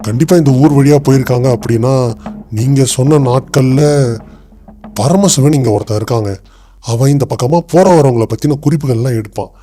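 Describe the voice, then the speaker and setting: Guy playing royal official in a cartoon folktale, mellow mid voice, formal, in charge. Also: medium pitch, a little fast, soft background music, lightly acted. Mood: puzzled, serious. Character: authoritative officials and king of an old Indian kingdom. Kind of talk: acted dialogue in animated moral tale.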